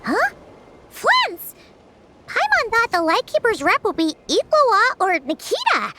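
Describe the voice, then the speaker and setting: Squeaky little fairy girl, bouncy excited voice swinging all over, loud game music behind. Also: a little slow, background noise, full character voice. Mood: surprised. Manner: talks about herself in third person, squeaky and excited. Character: tiny high-voiced female fairy companion, excitable.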